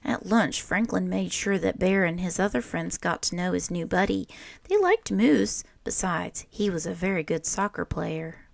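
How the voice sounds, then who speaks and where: Creaky-voiced woman reading a children's story. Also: on the low side. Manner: animated, deliberate storytelling with acted character lines. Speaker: teacher reading a picture book aloud. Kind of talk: expressive children's story read-aloud.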